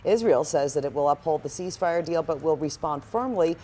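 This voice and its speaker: Female American morning news anchor, scripted delivery, voice on the lower side. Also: animated, brisk, warm tone.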